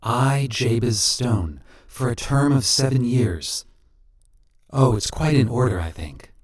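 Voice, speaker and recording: A man voicing a suave, sinister stranger who collects souls, talking smoothly and businesslike, a little pious and simpering. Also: medium pitch, expressive, slow. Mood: smooth menacing.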